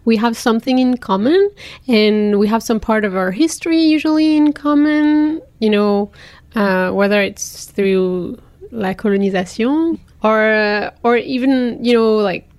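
A woman's voice: a little high, animated, unhurried, a little mellow, no echo. Thoughtful woman. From France. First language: French. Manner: thoughtful, searching for words. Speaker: interviewee, teacher. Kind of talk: reflective personal interview.

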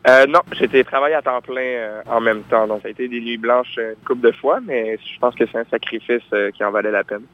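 A man's voice: mid-range voice, fairly even intonation, a little fast, hardly any pauses, a little hiss or hum. Hesitant young man. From France. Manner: hesitant, many fillers and restarts. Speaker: singer-songwriter guest. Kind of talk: casual interview answers. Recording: dull lo-fi recording.

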